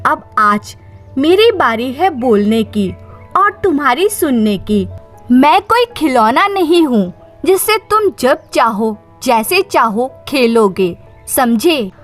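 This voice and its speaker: Wronged young wife played by a female narrator: bold, cutting, slow and emphatic, high voice. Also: very animated, faint music behind, full character voice. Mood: defiant.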